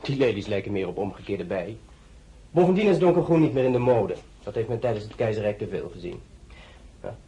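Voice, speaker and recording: A picky, haughty buyer for a fashion house in a radio play. His voice is very husky and breathy, with a mellow tone and a condescending, reluctant manner. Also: fairly low pitch, lively intonation, a little fast, full character voice, poor audio. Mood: fussy, dismissive. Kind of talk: radio drama acting.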